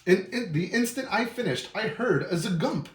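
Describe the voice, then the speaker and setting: Man acting an animated old storybook hermit. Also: high voice, moderate pace. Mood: wistful, excited. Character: secretive, boastful old storybook hermit recounting his past. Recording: roomy echo.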